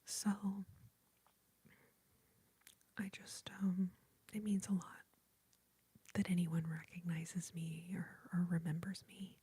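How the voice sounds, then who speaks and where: Breathy whispering woman. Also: lowish voice, level tone, takes it slowly, long pauses, no echo. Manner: soft unvoiced whisper, heartfelt and grateful. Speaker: ASMR YouTuber hosting a livestream. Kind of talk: whispered ASMR livestream chat.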